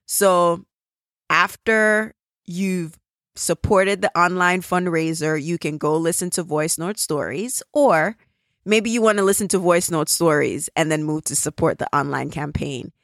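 A woman's voice: sits low, no room sound, studio quality. A woman with a Jamaican accent hosting her own podcast, talking casually and earnestly as she thanks listeners and asks for their support. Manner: earnest, grateful appeal for support. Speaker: podcast host. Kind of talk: casual solo podcast update.

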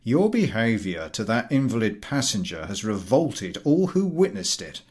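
A man's voice: lowish voice, sing-song, normal speed, darkish tone. A man voicing a stern engineer in a storybook reading, formal, indignant and admonishing. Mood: stern indignation.

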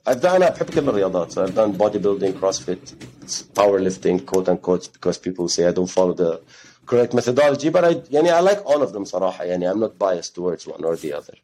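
A man's voice: low-pitched, very animated, very fast, mellow, a little hiss or hum. A man in his thirties, from Ghana, telling his story as an interview guest in a rambling way, with Arabic phrases mixed into his English. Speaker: guest, gym co-founder.

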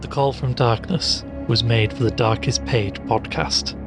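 A man's voice: medium pitch, unhurried. A man reading scripted end credits for a horror audio drama as its announcer. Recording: loud eerie music behind the voice.

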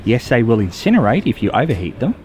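A man's voice: mid-range voice, big pitch swings, quick pace, darkish tone. A man, a futurist guest giving earnest, musing interview answers with many fillers. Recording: noisy background.

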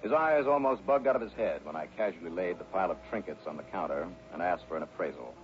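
An actor playing a wry, brisk, self-assured investigator in a 1960s radio detective drama. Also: male voice, low-pitched, lively intonation. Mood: narrating. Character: wry, self-assured male insurance investigator, hard-boiled sleuth. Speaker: lead actor and narrator of a radio detective series. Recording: muffled, noisy old radio recording.

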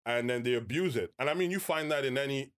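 A man in a casual podcast discussion, speaking in a measured way and steering the talk back to his argument. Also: mid-range voice.

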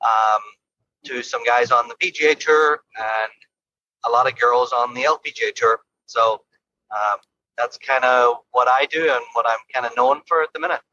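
A man's voice: mid-range voice, moderate pace, pushed and bright, dull audio. A man with an Irish accent, a golf coach, chatting easily from his car in an interview. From Ireland. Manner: easygoing, laughs often, repeats words. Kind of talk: casual interview chat from a car.

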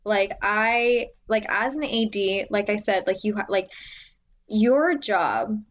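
A young adult woman with a loud voice, talking as a guest in a casual interview about working as an assistant director, full of "like"s and restarts. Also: medium pitch, slow pace, highs cut off. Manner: animated, filler-heavy, many "like"s.

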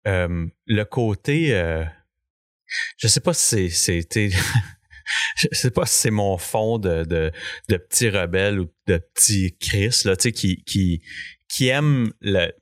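Low-voiced Québécois host, hesitant. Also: male voice, animated, slow, no room sound, clean studio sound. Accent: Québécois French. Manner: hesitant, stammering restarts. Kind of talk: casual conversation.

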